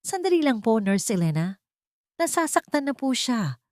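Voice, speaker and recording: A woman voicing a gentle, respectful young household helper who speaks in a soothing, pleading way. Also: on the high side, very animated, moderate pace, no room sound, clean studio sound, pitched above the performer's own voice.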